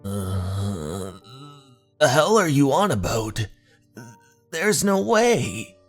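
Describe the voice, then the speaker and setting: A man's voice: medium pitch, sing-song, very slow, voice pushed up, full character voice. A man voicing an anxious, easily startled boy in a horror manga dub, uneasy and self-reassuring, over music. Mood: baffled.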